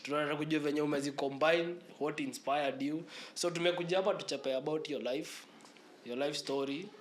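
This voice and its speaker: A man with a Kenyan accent hosting a casual podcast interview, mixing English with Swahili slang, lively at the intro and chatty after. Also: mid-range voice, a little hiss or hum. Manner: hyped intro, then relaxed and chatty with laughs. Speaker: podcast host.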